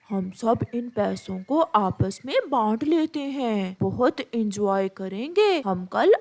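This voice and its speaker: Cartoon young bird female performer: playful, excitable kid pal, high pitch, drawn-out childlike delivery. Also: full character voice. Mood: excited. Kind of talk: children's cartoon story voice acting.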